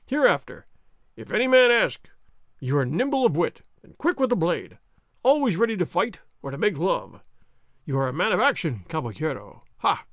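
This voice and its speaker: A man voicing a loud, boastful, burly soldier sergeant who bellows and swaggers. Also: high-pitched, big pitch swings, normal speed, no echo, studio quality, full character voice. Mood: blustering.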